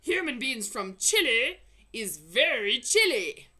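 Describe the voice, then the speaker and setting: A woman's voice: fairly high pitch, sing-song, slow pace, bright, forceful tone, pitched above the performer's own voice, exaggerated voice. A woman voicing a huge, vicious, greedy male giant from a storybook, roaring insults in broken, folksy grammar. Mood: boastful. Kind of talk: storybook character voice.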